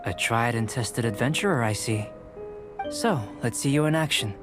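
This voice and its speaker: An actor playing a calm, curious young male alchemist in a video game, speaking in a measured, polite way. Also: medium pitch, natural voice. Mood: courteous. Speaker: alchemist researcher. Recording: game audio with music behind.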